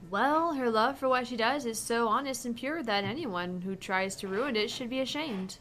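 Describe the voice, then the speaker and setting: A woman's voice: fairly high pitch, moderate pace, fairly bright tone, faint music behind. A woman co-hosting a gaming show, reading a visual novel aloud and commenting casually.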